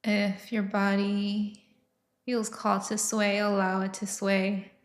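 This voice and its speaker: A woman leading a guided meditation with soft, soothing, unhurried instruction and long pauses between phrases. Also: medium pitch, monotone, roomy sound.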